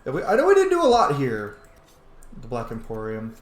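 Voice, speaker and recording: A man giving casual, chatty, sweary commentary as he plays a video game, thinking out loud. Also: mid-range voice, wildly swooping pitch, a little fast. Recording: echoey room, faint background noise.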